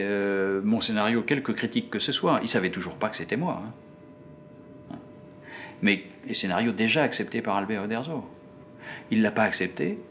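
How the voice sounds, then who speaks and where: Male interviewee, lowish voice, halting delivery, faint rumbling noise behind. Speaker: interviewee, screenwriter. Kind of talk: recollections in an interview. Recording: clear background noise with low rumble.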